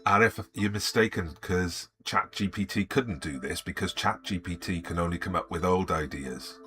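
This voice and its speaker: An older man streaming live from his home studio, muttering to himself as he works, grumbling at his software and chatting with viewers. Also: low-pitched, moderate pace. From Wales. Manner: mutters to himself while working, grumbles, then greets chat viewers. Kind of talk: live art stream chat. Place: indoor home studio at a desk. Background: background music.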